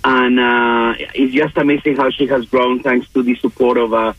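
A man phones in to a radio show. He speaks in a husky, dry voice over a dull, muffled line, stumbling and restarting often as he gets his words out. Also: mid-range voice, flat delivery, dry recording. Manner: halting, many restarts and repeated words. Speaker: caller. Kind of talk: call-in comment.

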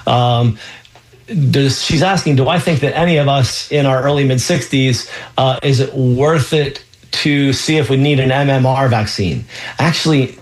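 A man at a middling pitch hosts a call-in health show, answering listeners like a practising doctor. He explains at length, filling gaps with ums and circling back to restart his sentences. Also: moderate pace, rough recording. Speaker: radio health show host and physician. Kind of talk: call-in radio advice.

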